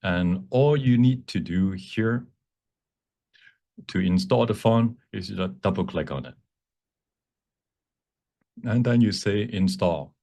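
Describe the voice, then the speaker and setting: Man, deep voice, bilingual instructor, step-by-step explanation, halting phrasing with repeats. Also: gravelly, warm tone. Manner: bilingual, switches between English and Cantonese. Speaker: workshop presenter, instructor. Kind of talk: live software demonstration, explanatory teaching.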